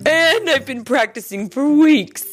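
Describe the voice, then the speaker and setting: A woman voicing a boastful, easily wounded coyote in a children's story, with drawn-out, emphatic words. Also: high voice, expressive, faint music behind, pitched above the performer's own voice.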